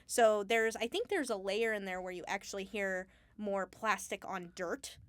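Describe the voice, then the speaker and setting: A woman being interviewed as a Foley artist, talking casually and haltingly as she thinks aloud. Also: mid-range voice, dry recording.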